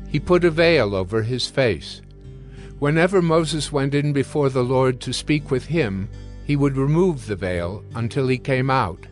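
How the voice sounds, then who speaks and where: Male narrator, medium pitch, measured, formal, deliberate phrasing, scripted scripture storytelling, soft music bed.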